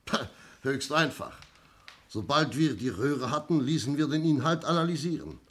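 A shrewd police inspector in a vintage radio crime play. His voice is husky and swings widely as he lectures with confidence. He leans on pauses for dramatic weight. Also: medium pitch, lively intonation, a bit bright. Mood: confident, emphatic. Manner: theatrical, didactic, emphatic pauses. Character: shrewd, self-assured male police inspector explaining a murder. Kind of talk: 1960s German radio crime drama acting.

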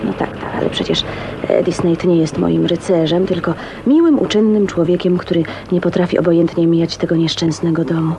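An actress playing a kind, spirited, gently defiant young unmarried woman in a radio drama, earnest and tender. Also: on the low side, brisk, lightly acted. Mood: musing. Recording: faintly noisy old radio recording.

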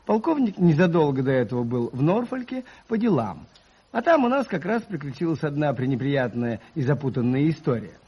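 An actor playing a gentle, modest priest telling an old tale in an unhurried, courteous radio drama style. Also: male voice, on the high side, very animated, husky. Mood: reminiscing. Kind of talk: 1980s Soviet radio drama acting, storytelling. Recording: slightly muffled vintage radio recording.

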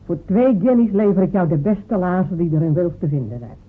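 An actress playing a sharp-tongued female village cobbler and loyal wife, who talks in a dry, teasing, stubborn way. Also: on the low side, expressive, fairly quick, a little hiss or hum, close to an everyday voice. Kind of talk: radio drama acting. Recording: phone-like old radio recording.

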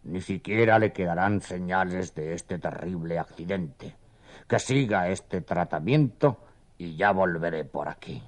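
A man's voice: deep voice, measured pace. A man playing a grave, reassuring doctor in a children's audio story.

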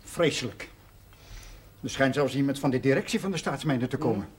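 An actor playing an anxious, self-pitying husband in a 1970s TV drama, fretful and hesitant. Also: male voice, mid-range voice, fairly quick, lightly acted. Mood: nervous, fretful. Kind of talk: 1970s television drama acting. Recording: noisy old TV soundtrack.

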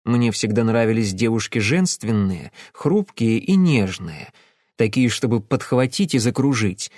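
A man reading a first-person novel as an audiobook, with a lively, expressive delivery. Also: measured pace, dry recording, studio quality. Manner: lively, expressive reading with wide pitch swings. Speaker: audiobook narrator.

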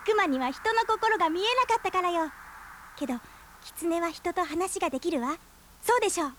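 A woman's voice: very high voice, animated, fairly bright tone, slight background noise. An actress playing a spirited, stubborn young woman in a radio drama, arguing her case insistently. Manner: quick-witted, debating, insistent. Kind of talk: 1990s radio drama acting.

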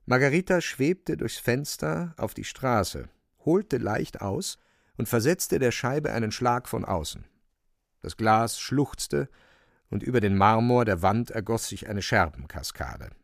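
A man's voice: on the low side, gravelly, no room sound, clean studio sound. A man narrating a literary radio drama in a dramatic, scripted storytelling style. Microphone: close, dry studio microphone.

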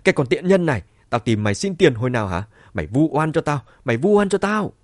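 A man voicing a bitter, cruel middle-aged mother in an audiobook, shouting spitefully and defiantly. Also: mid-range voice, big pitch swings, quick pace, slightly rough, no echo, studio quality. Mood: furious.

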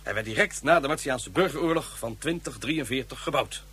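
A man's voice: mid-range voice, animated, brisk, bright, forceful tone, breathy edge, exaggerated voice. An actor playing a pompous male government official who speaks in a formal, ceremonious way. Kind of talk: radio play acting.

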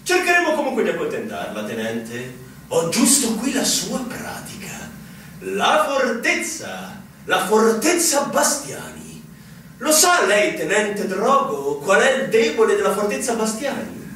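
A man's voice: high voice, big pitch swings, a little slow, bright, forceful tone, exaggerated voice. A man playing a pompous, evasive senior military commander in a radio drama, with a theatrical, condescending, formal manner. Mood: patronising, amused. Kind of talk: stage-style radio drama acting. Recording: very echoey, distant, faint noise.

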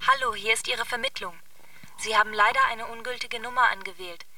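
A woman's voice: fairly high pitch, wildly swooping pitch, a little fast, creaky, sharp tone, recorded from a distance, heavily acted. A woman playing an overly helpful, sing-song telephone operator in a radio drama. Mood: over-polite.

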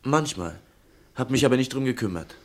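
A man's voice: mid-range voice, animated, fairly quick, lightly acted. An actor playing an earnest, indignant young man in a German radio drama, direct and challenging. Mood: curt, wary.

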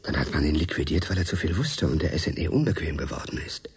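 An actor playing an urgent, determined male journalist in a German radio drama, with a husky voice. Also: very deep voice, expressive, fast, background noise. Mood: sober, resigned.

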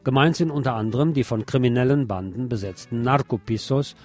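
A man presenting scripted local news on the radio in a measured newsreader's delivery. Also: medium pitch, a little mellow, faint music behind.

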